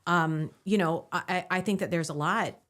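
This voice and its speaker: A woman's voice: lowish voice, normal speed, a bit bright. A woman co-hosting a podcast, thinking aloud with many fillers and often agreeing with her partner. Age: adult, divorced. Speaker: podcast co-host. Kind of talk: conversational podcast discussion.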